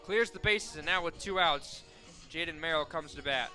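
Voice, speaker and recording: A young man calling softball play-by-play on the radio, steady and full of stats, rising sharply on big plays. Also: a little high, normal speed, soft background music. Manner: steady, fact-filled call that rises sharply on big plays. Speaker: play-by-play announcer.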